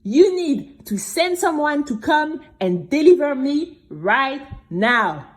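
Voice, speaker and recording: A woman playing a brave young girl who tells her own story in an expressive, exclamatory way for a children's audio drama. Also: high-pitched, pitched above the performer's own voice, exaggerated voice. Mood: urgent pleading. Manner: expressive, exclamatory, with drawn-out emphatic words.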